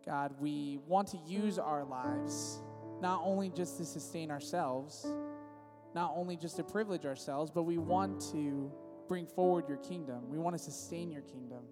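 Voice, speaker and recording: A man's voice: fairly high pitch, moderate pace, music in the background. Young man speaking in a reverberant church. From California. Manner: casual, friendly, loose with restarts. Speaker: worship leader. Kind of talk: church service intro and spoken prayer. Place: church sanctuary. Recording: long reverb of a large church hall.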